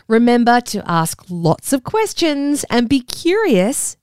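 A woman's voice: fairly high pitch, sing-song, slow, darkish tone, smooth, no echo, studio quality. Upbeat Australian woman hosting a kids' show. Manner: talks directly to young listeners, upbeat and encouraging. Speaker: children's podcast host. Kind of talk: scripted kids' show presenting.

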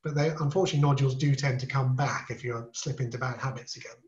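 Calm, reassuring male throat specialist. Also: mid-range voice, roomy sound, dull audio. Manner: measured, reassuring, explanatory with small restarts. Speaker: ear, nose and throat specialist guest. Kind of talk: podcast interview, explaining medical topics.

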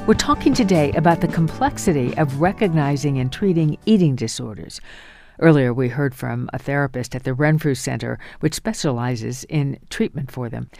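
Measured woman radio host. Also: very deep voice, lively intonation, darkish tone, soft background music. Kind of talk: radio interview.

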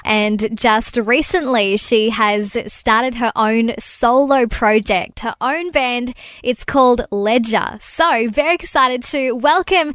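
A woman hosts a show with a slightly high, bubbly voice and laughs easily. The sound is dry and close to the mic. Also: takes it slowly, fairly bright tone, smooth, dry recording. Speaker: radio show host. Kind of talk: interview hosting.

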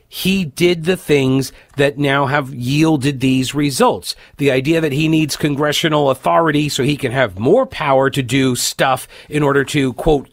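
Male talk radio host, raspy and congested, sarcastic and opinionated, slightly high voice, dry studio sound. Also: no room sound. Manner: sarcastic, opinionated, reads news aloud with asides. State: raspy, stuffed-up voice from a cold. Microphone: studio microphone, dry.

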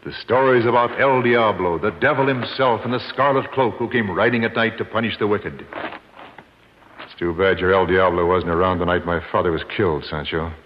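An actor playing an earnest, determined young American avenger, delivered with the dramatic polish of 1950s radio acting. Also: male voice, lowish voice, normal speed, close to an everyday voice. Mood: wistful. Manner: resolute, dramatic. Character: determined young man avenging his murdered father, earnest. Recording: muffled, hissy old 1950s radio recording.

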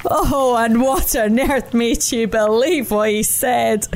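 A woman voicing a huge, grumpy old male bear who talks slowly in a low, booming way. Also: slight background noise. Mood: scornful. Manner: slow, grumbling, then laughing.

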